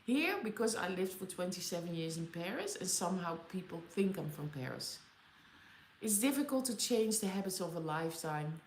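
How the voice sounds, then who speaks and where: A woman's voice: fairly low pitch, animated, normal speed, roomy sound, slight background noise. A woman from the Netherlands with a Dutch accent, chatting casually with viewers on a livestream and laughing often. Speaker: livestream host. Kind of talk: casual live chat with viewers. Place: home in Auckland.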